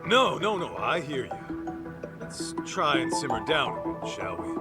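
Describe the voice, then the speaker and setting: Slick, animated yakuza fixer. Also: male voice, mid-range voice, a little slow. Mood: flustered, placating. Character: slick, placating male yakuza fixer, cocky but apologetic. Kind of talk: video game voice acting. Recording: music behind the voice. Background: music.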